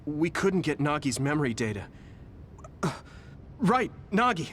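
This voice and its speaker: An earnest young soldier in a game cutscene, fairly high-pitched with wide swings. He speaks slowly and searchingly, bewildered yet polite, with music underneath. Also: big pitch swings, a bit bright, slight background noise. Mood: apologetic, earnest. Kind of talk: scripted video game cutscene acting.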